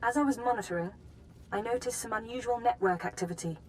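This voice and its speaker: A woman's voice: a little high, fast, a little hiss or hum, lightly acted, poor audio. An actress playing an efficient female intelligence handler in a video game, briefing formally and precisely. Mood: businesslike. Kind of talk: video game dramatic acting.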